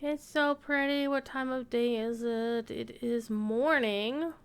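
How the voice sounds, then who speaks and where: A woman's voice: on the high side, takes it slowly, smooth. A woman streaming a game and chatting casually, thinking aloud and sometimes reading the quest text. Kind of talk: casual gameplay commentary. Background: faint game music.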